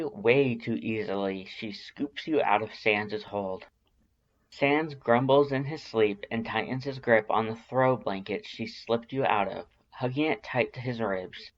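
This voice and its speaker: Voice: mid-range voice, lo-fi sound. A narrator reading a scripted story expressively, in the manner of an audiobook. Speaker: fan fiction narrator.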